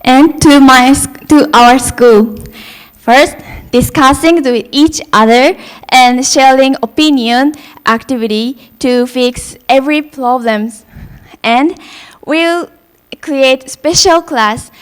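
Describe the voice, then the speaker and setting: A young woman from Japan presents her group's proposal in a hesitant, halting way. Her voice is smooth and a little high. She speaks unhurriedly. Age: young adult. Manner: hesitant, with fillers and restarts. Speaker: student presenter.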